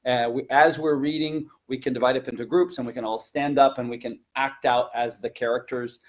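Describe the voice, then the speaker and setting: A man's voice: mid-range voice, fairly even intonation, fairly quick. A man who teaches languages, presenting practical classroom advice in an informal, conversational way.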